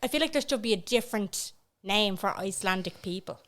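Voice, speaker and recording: A woman's voice: medium pitch, animated, brisk, fairly bright tone. A young Irish woman co-hosting a podcast, chatting casually and joking around on a studio mic. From Ireland. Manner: chatty, jokey, laughs often. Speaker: podcast co-host. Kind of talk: casual chat.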